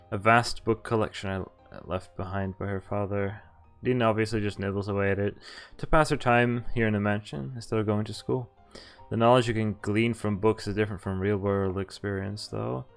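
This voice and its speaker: A man's voice: on the low side, vocal fry, smooth. A man streaming a visual novel, reading its text aloud with casual commentary over game music. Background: game background music.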